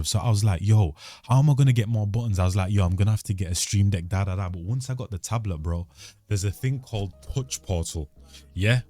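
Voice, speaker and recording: A man's voice: lowish voice, dark tone. A young man, chatting casually to his channel in a slangy, laid-back, rambling way, recorded at a home desk mic. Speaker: channel host. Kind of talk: casual vlog chat to camera. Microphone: studio mic at a desk.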